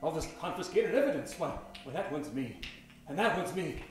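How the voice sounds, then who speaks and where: A villainous prison warden with a twisted sense of justice. The voice is fairly high, theatrical and pompous, in a heavily echoing room, as in a radio play. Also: male voice, big pitch swings, brisk. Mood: bewildered. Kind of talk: melodramatic old-time radio acting. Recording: very echoey room, faint music.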